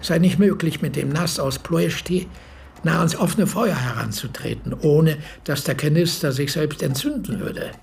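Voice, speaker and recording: A man's voice: a little high, creaky, a little hiss or hum. An actor playing an 86-year-old former army medic who recounts his memories as a solemn, precise eyewitness in a radio play. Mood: solemn, matter-of-fact. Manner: measured, precise, formal storytelling. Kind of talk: radio play monologue, reminiscence.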